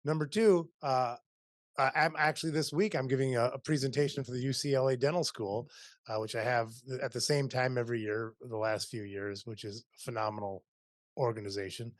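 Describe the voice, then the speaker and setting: Male podcast host, middle-aged, energetic, rambling, dry mic. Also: mid-range voice, brisk, no echo. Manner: upbeat, rambling with restarts. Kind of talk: video-call interview. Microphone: studio mic on boom, video call.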